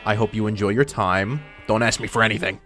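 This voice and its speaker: A man's voice: fairly low pitch, steady tone, fairly quick, bright, forceful tone. A man narrating gameplay in a casual, informal way with wry asides, his voice run through a voice filter. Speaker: gaming video host. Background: background music.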